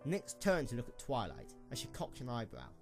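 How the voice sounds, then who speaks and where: A man narrating a scripted story as an expressive storyteller for an audio drama. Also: mid-range voice, brisk, creaky, over music.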